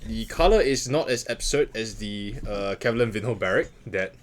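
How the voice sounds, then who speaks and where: Low-voiced man co-hosting with wry banter. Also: animated, moderate pace. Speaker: talk show co-host. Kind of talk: casual banter and explanation.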